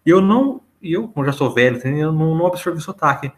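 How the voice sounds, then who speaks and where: Chatty São Paulo podcast host. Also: male voice, fairly high pitch, animated, rapid-fire. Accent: São Paulo Portuguese. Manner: loose, chatty, with filler tags. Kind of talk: casual weekly chat.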